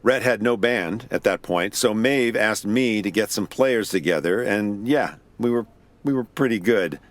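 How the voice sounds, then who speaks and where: A man's voice: lowish voice, a little slow, close to an everyday voice. An actor playing a weary, wry investigator who narrates his own case in an audio drama. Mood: wry, matter-of-fact. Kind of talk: first-person noir-style audio drama narration.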